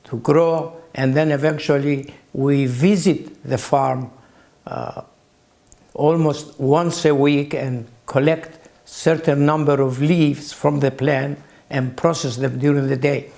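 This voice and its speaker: A man in his eighties with a Greek accent, recalling his early life as an interview guest in halting phrases with long pauses. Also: mid-range voice, steady tone, vocal fry, a little mellow. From Greece. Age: 80s. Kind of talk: slow reflective recollection.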